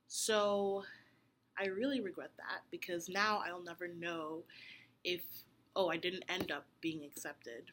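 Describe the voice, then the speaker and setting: A woman's voice: lowish voice, pushed and bright, a little hiss or hum. A young woman from Nigeria, raised in Cape Town, answering video interview questions in an earnest, reflective way with fillers and restarts. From Nigeria, raised in Cape Town, South Africa. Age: young adult. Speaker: university applicant. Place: home room with a roommate passing.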